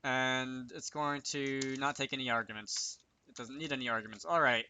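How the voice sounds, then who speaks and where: Bright-voiced man thinking aloud while coding. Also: medium pitch, steady tone, normal speed, a little hiss or hum. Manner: self-talking, wry asides. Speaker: video creator demonstrating game programming.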